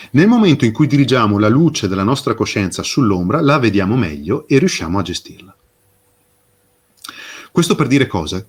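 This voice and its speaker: A man speaking as an interview guest, explaining with passion and emphasis, posing rhetorical questions and pausing for effect. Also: mid-range voice, husky. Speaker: interview guest, fairy tale expert. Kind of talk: impassioned explanatory interview talk.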